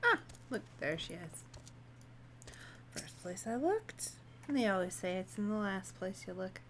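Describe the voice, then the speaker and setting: A woman's voice: medium pitch, very animated, a little slow, fairly bright tone, slight background noise. A woman giving casual commentary as she streams a video game.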